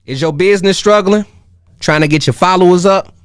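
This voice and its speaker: A man's voice: high voice, a little fast, smooth, dry recording, clean studio sound. A man delivering a scripted promotional read that addresses the listener directly.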